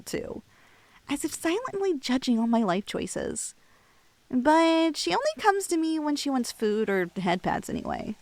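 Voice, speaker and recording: Tipsy young woman played by a female voice actor for ASMR roleplay: playful, teasing, highish voice, unhurried drawl, rambling thoughts. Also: no echo. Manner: rambling, teasing, halting with giggles and trailing thoughts.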